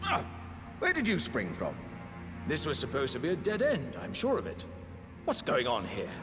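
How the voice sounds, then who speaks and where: A man's voice: a little high, huge pitch swings, moderate pace. A man with a British accent voicing a hearty, pompous, good-natured living warrior jar in a theatrical fantasy game style. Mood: jovial, puzzled. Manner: grandiose, jovial, old-fashioned gentlemanly phrasing. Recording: music behind the voice.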